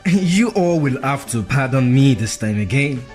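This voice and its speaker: An actor playing a deferential, apologetic political conspirator in a radio drama. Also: male voice, mid-range voice, darkish tone.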